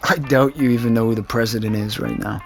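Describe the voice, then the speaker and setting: A man's voice: mid-range voice, steady tone, fast talker, creaky, soft background music, close to an everyday voice. An actor playing a concerned male friend in naturalistic audio fiction, dry and blunt, with a needling, teasing edge. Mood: dryly coaxing.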